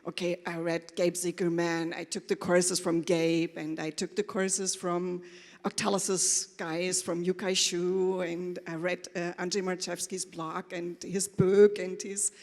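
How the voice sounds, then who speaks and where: A woman telling her story informally and a little haltingly as a panelist, with quoted asides. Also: on the low side, little pitch movement, darkish tone. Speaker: panelist, corporate trainer. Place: conference hall, before an audience. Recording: echoey hall with long reverb.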